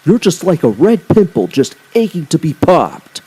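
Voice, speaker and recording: A man's voice: fairly high pitch, big pitch swings, moderate pace, dark tone, slight background noise, pitched above the performer's own voice. A man voicing mischievous freight cars in a children's story, teasing and taunting.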